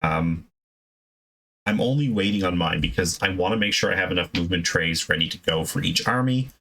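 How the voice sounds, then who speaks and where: A man's voice: low voice, brisk, sharp tone, poor audio. A man giving casual, unscripted hobby advice as a video host, in a conversational, emphatic way that rambles into asides.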